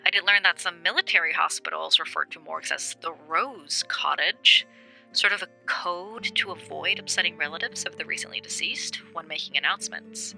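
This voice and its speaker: A woman playing a sharp, helpful investigative journalist in an audio drama, conversational and matter-of-fact. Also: on the low side, moderate pace, creaky, faint music behind, heavily acted, low-quality recording.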